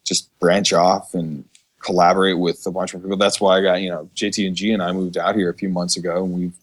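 A man with a low, deep voice talks in a casual podcast conversation. He speaks as a musician guest, in everyday informal language. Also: flat delivery, fairly quick.

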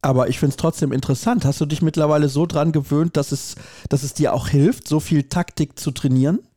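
A male podcast host with a mellow, warm tone and a dry, close sound. He talks in a conversational way, often doubling words for emphasis. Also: on the high side, steady tone, fairly quick, no echo. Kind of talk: interview questions and commentary.

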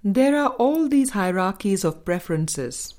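A woman reading a spiritual book aloud as a scripted audiobook, in a measured, deliberate manner with pauses between phrases. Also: medium pitch, very animated, a little mellow. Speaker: audiobook reader.

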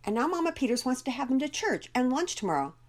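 A woman's voice: high voice, sing-song, fast talker, voice pushed up. A woman voicing a sulky, edgy young girl in an audiobook story, with a whiny, defensive manner. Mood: hesitant, glum.

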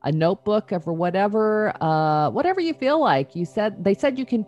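Animated woman hosting a chatty interview. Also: mid-range voice, moderate pace, highs cut off. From Canada. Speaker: show host.

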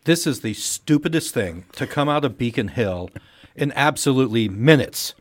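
A man's voice: mid-range voice, animated, moderate pace. A man giving conversational news commentary as a panelist, with a blunt, emphatic and opinionated way of talking. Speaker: newspaper journalist as panel commentator. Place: radio studio.

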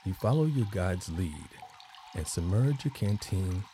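A man narrating a guided meditation as host, in measured phrases with long pauses between them. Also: low-pitched, expressive, mellow, a little hiss or hum.

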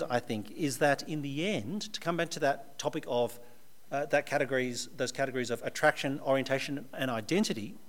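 An Australian man delivers a sermon to a live audience, sounding relaxed and conversational. His voice sits a little higher than most men's, and he slips in dry jokes as he goes. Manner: conversational, with dry humorous asides. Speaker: preacher.

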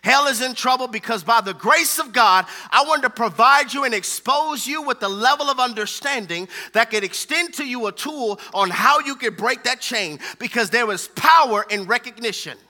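Very high, bright-voiced American male preacher. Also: animated, normal speed, hardly any pauses. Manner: emphatic, repetitive rhetorical delivery. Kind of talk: fervent sermon.

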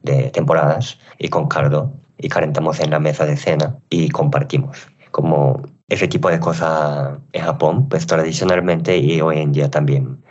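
A man who is a chef and a native Japanese speaker, answering interview questions haltingly and often searching for words and correcting himself. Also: rumbling low, level tone, a little mellow, muffled recording. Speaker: chef, guest. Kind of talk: interview answers on a radio show.

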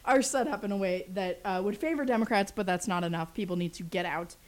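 A woman's voice: medium pitch, fast. A young woman from Portland hosting a college radio talk show, teasing her co-host and guest with a playful, laughing manner. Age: young adult. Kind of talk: casual banter and interview.

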